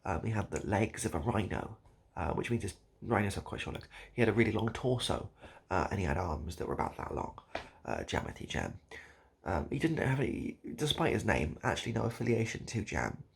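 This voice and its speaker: A man improvising a comic monologue straight to the listener, halting and repeating himself in a mock-earnest, absurd way. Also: fairly low pitch, sing-song, vocal fry, slightly rough. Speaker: online video host.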